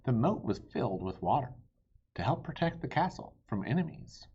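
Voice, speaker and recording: A man reading a children's book aloud as an expressive narrator. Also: medium pitch, moderate pace, slightly rough, dull audio. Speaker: storybook reader.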